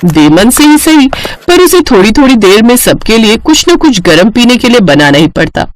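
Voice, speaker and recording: A woman's voice: fairly high pitch, very animated, normal speed, a little hiss or hum. A woman narrating a scripted story.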